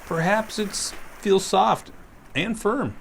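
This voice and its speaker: A park ranger reading a sensory story aloud to young children, gently asking them questions as he goes. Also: high voice, takes it slowly, gravelly, slight background noise.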